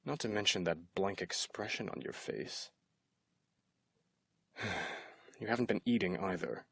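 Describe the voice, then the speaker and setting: Man playing a possessive yandere kidnapper, low gravelly voice, sweetly menacing, teasing, intimate ASMR roleplay. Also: no echo, full character voice. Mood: cold, teasing. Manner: slow, teasing, often dropping into whispers. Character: obsessive possessive male kidnapper, sweetly menacing, manic lover.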